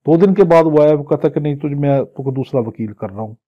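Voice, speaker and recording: Husky Pakistani man lecturing. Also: fairly high pitch, fast. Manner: didactic, translating phrase by phrase, with acted-out examples. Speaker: law teacher. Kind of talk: exam-prep lecture, reading legal text and explaining it. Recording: dull, lo-fi lecture audio.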